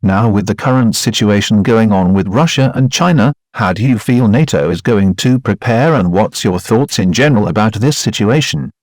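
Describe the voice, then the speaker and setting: A man's voice: fairly low pitch, normal speed, few pauses, darkish tone, dry recording, studio quality, lightly acted. A man playing a wartime news anchor, reading scripted reports in a formal, matter-of-fact manner. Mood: neutral, formal.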